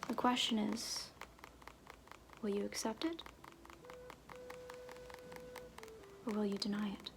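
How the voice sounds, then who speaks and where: A woman playing a flirty, glib circus fool in an ASMR roleplay, half-whispering with halting, offhand, teasing asides. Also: medium pitch, fairly even intonation, gravelly, background noise, close to an everyday voice. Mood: mysterious, hushed. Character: flirty, glib circus fool and sham fortune-teller, playfully evasive.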